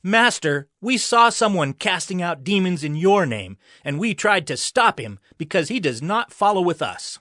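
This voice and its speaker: A man's voice: high-pitched, big pitch swings, normal speed, few pauses, pushed and bright, no echo, clean studio sound, exaggerated voice. A man playing an eager, zealous disciple addressing his master urgently in a dramatized audio Bible. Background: faint music.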